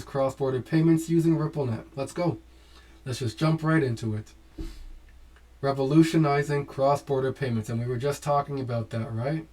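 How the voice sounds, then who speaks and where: Mellow-voiced man reading and commenting. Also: medium pitch. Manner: reads text aloud and breaks off with asides to viewers; animated, urging exclamations. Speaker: host. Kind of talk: casual commentary while reading documents aloud.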